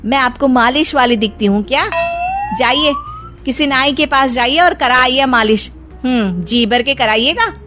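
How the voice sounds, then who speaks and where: Touchy, coy mother-in-law, slow and high woman acting. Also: music in the background. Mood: irritated. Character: middle-aged mother-in-law, touchy but easily flattered wife. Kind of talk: cartoon story acting.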